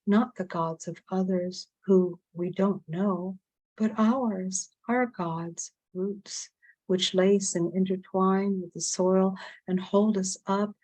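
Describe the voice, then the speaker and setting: A woman reading her own spoken-word poetry at an online open mic, with solemn, deliberate phrasing and repeated phrases. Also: mid-range voice, fairly even intonation, darkish tone. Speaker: poet reading own work.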